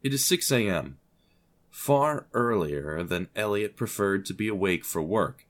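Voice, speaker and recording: Low-voiced man narrating a wry story. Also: lively intonation, unhurried.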